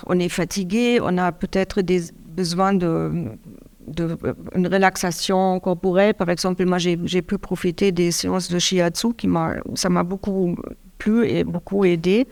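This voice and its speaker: A middle-aged woman from Germany speaking French with a German accent, giving a hesitant, halting caregiver's testimony with many restarts. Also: lowish voice, gravelly, a little mellow. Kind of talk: public testimony interview.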